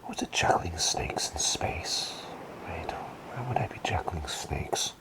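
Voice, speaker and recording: A man playing an eccentric, scatterbrained but gentle plague doctor in a soft, soothing, rambling whisper for an ASMR roleplay. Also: sits low, animated, takes it slowly. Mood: scatterbrained, musing. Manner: rambling, soothing, softly repeating words. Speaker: ASMR performer.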